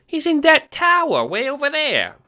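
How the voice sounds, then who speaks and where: Squeaky little Italian-American wiseguy. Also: male voice, huge pitch swings, normal speed, pushed and bright, full character voice. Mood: eager, brash. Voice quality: squeaky, chipmunk-like. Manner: slangy gangster talk, brash. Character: short clumsy squeaky wiseguy guard, brash but good-natured. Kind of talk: audio drama character voice.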